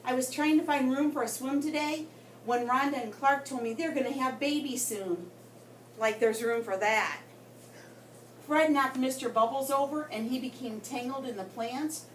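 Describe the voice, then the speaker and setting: Female storyteller for a children's read-aloud, higher-pitched and expressive, picked up from across a roomy space. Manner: expressive, with dramatic pauses and emphasis. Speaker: guest story reader for children. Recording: roomy, distant mic with background noise.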